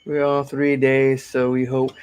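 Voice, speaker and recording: A man's voice: mid-range voice, completely flat delivery, a little slow, a little mellow, clear, resonant tone. A man in his forties from Connecticut, chatting casually on a live stream as he answers viewer questions about his cosplay builds. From Connecticut, USA. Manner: chatty and rambling, often repeats himself.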